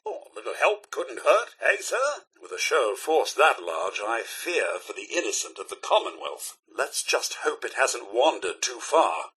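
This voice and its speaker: A man voicing a prim, loyal robot butler in a video game, with a British accent and a formal, deferential, theatrically expressive manner. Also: on the high side, slightly rough, exaggerated voice, poor audio. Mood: worried. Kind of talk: video game character dialogue.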